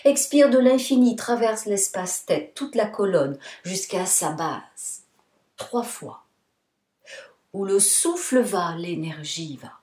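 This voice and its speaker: A woman leads a guided relaxation as a yoga nidra teacher. Her delivery is very unhurried and soothing, with short phrases set apart by pauses. The voice is mellow and soft-edged, in a roomy, echoing sound. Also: medium pitch, expressive. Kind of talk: guided relaxation meditation, spoken instructions.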